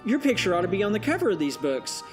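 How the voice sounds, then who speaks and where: A pious, folksy novelist played by a woman in an audio drama, gently chiding and preachy. The delivery swings up and down with plenty of life, over clearly audible music. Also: medium pitch, sing-song, quick pace, lightly acted. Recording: music behind the voice.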